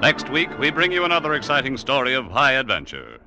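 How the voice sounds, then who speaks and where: A man's voice: mid-range voice, huge pitch swings, a little fast, over music, poor audio. A man with an American accent announcing formally in the style of an old network radio broadcast.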